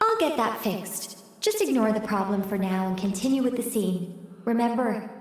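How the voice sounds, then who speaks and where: Woman as female magical director, strong echo, long reverb, highish voice, calm, instructive, video game. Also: moderate pace, a little hiss or hum, close to an everyday voice. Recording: echoey hall reverb.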